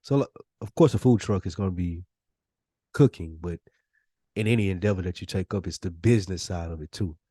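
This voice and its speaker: American male podcast co-host, lower register, dry sound, informal slang-heavy conversational storyteller who swears freely. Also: a little fast, dark tone, breathy edge, no room sound. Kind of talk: casual chat with animated storytelling.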